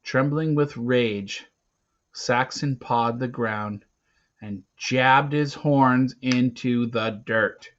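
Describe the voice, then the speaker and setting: Slow, theatrical male storyteller, dull recording. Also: medium pitch. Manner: theatrical, emphatic, with swings from hushed to loud. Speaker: reader of a children's adventure novel. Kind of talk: dramatic read-aloud narration.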